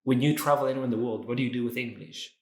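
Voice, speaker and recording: A man's voice: mid-range voice, fairly even intonation, racing, a little mellow, reverberant. A man hosting an educational interview, chatty and opinionated, often opening his questions with "so". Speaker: interview host, English teacher.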